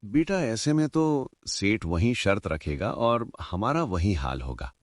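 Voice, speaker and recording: A man voicing a deep-voiced village elder. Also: big pitch swings, takes it slowly, no room sound, clean studio sound, exaggerated voice. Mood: cautious. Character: elder village farmer, cautious.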